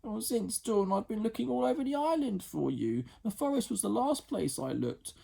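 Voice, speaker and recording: Soft weepy big sister, guy voicing her, high and sorry, kinda husky. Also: very animated, moderate pace, exaggerated voice. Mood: tearful. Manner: soft, pleading, apologetic. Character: remorseful older sister, teary and caring.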